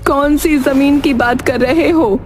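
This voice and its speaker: Female actor as poor village woman, high pitch, plaintive, righteous, animated moral story. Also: a little slow, music in the background. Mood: indignant. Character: poor wronged village widow and her daughter.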